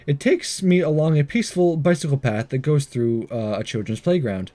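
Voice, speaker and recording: A man's voice: a little high, animated, moderate pace, mellow. A man hosting a game playthrough, reading visual novel text aloud with jokey asides and the odd stumble.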